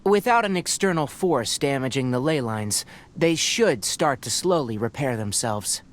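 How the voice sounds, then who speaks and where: A man playing a calm, scholarly young male forest ranger in a video game, explaining things in a measured way. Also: mid-range voice, lightly acted. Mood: calm, focused.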